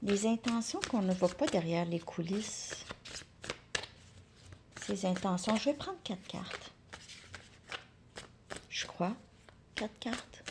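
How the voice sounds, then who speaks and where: A woman giving a casual tarot card reading. She thinks aloud, repeats words and drops into soft asides. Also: medium pitch, takes it slowly, long pauses, background noise.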